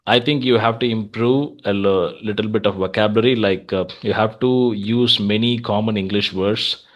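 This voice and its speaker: A man's voice: on the low side, steady tone, normal speed, dull audio. A man with an Indian accent chatting casually as an English practice coach, giving feedback and advice in an encouraging way.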